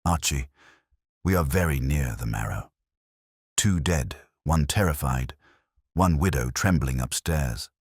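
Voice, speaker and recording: A large, imperious older detective, acted by a man speaks in formal, terse pronouncements. The voice is extremely deep and husky, deliberate and grumpy, in the style of a mystery audiobook. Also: expressive, no room sound, clean studio sound, exaggerated voice. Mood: grave, commanding.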